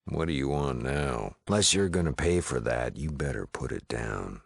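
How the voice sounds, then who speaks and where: Gruff small-town frontier mayor and shopkeeper for a game: American, bottom-of-the-range bass, no-nonsense, folksy, close dry sound. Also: male voice, lively intonation, normal speed, no echo, full character voice. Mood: stern, threatening. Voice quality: deep, gravelly. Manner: folksy drawl, wry, no-nonsense. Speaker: video game character. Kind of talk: video game dialogue acting.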